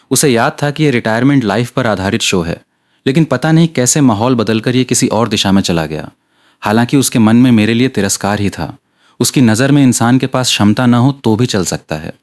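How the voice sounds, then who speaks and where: A man's voice: mid-range voice, a little mellow. A man reading scripted dramatic narration for an audio story.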